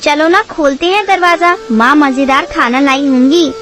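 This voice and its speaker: A woman playing an eager little baby bird in a children's cartoon, childlike and excitable. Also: high-pitched, moderate pace, lightly acted. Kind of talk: Hindi children's cartoon story acting. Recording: music behind the voice.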